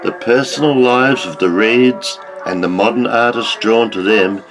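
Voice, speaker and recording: Slow, low-voiced Australian man reviewing. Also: low-quality recording. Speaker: book reviewer. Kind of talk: scripted review narration. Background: music.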